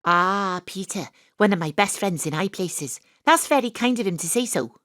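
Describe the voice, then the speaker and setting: A woman's voice: medium pitch, lively intonation, moderate pace, a bit bright, no echo, studio quality, close to an everyday voice. An actress with a Welsh accent playing a wry, sporty amateur detective who narrates her own story with self-mocking humour. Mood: lively. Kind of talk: first-person radio drama narration. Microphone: home studio mic.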